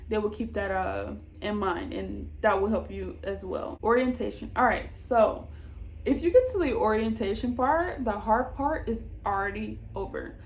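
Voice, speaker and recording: High-voiced young American woman, casual and reassuring. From Southern United States. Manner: informal, reassuring. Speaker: vlogger giving job advice. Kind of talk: casual advice chat to camera.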